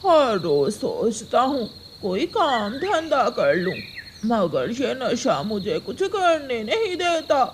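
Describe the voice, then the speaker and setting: A performer voicing a remorseful, addicted male crow, a husband and father, with a slow, self-pitying, pleading manner in a cartoon story. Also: mid-range voice, wildly swooping pitch, heavily acted. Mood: regretful. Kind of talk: acted dialogue in Hindi moral cartoon story. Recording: clearly noisy, nature ambience behind.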